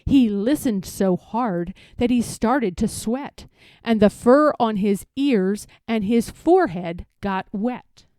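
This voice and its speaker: Female storybook narrator, soft-toned and animated, deliberate rhythmic delivery, close dry sound. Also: a little high, no echo. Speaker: storybook reader. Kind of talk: expressive scripted rhyming story narration.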